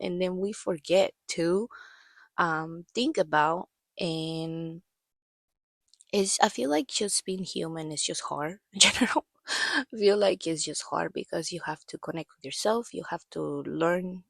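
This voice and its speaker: A woman's voice: lowish voice, gravelly, no echo. A woman in her thirties telling a personal story as a podcast host, rambling and hesitant with many fillers, her voice worn from allergies and coughing.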